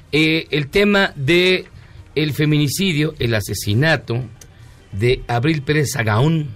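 A Mexican man hosts a radio talk show and chats easily with his co-hosts. His voice sits a little high for a man, and faint music plays underneath. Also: fairly bright tone. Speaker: radio host.

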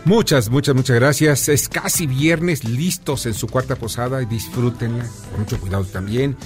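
A man's voice: medium pitch, moderate pace, faint music behind. A male radio host with a mid-range voice. He talks effusively and asks long, winding questions that loop back on themselves. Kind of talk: radio talk show interview.

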